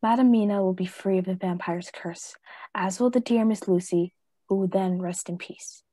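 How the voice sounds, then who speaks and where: A woman playing a grave, authoritative vampire-hunting professor in a radio play, formal and deliberate, with a husky voice. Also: medium pitch, warm tone, no echo, lightly acted. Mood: resolute. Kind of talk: theatrical radio play acting.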